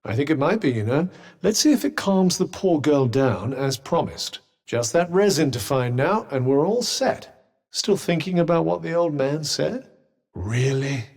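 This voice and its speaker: Game role: sardonic magical talking object, British accent, haughty and droll, animated intonation, lightly husky tone. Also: male voice, fairly high pitch, a little slow, full character voice. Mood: dry, musing. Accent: British English. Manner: dry, sarcastic, condescending, theatrical. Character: sardonic, haughty, well-spoken talking magical cuff, male. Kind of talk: video game character dialogue.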